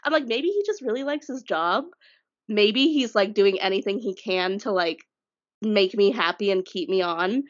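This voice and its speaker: A woman co-hosting a podcast with a cold, her voice a little congested. She chats and tells stories in a lively, rambling way, with pitch that jumps around. The audio is slightly dull and lo-fi. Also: normal speed. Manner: chatty storyteller, lively pitch swings. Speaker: podcast co-host. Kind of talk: casual chat.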